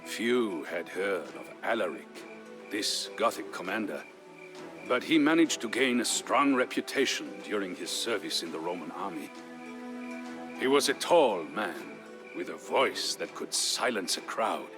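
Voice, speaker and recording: Deep-voiced man, solemn dramatic narrator. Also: sing-song. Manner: solemn, measured, theatrical storytelling. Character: grave Visigoth man recounting his people's war history. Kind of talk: dramatic scripted narration for a historical game. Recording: music behind the voice. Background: music.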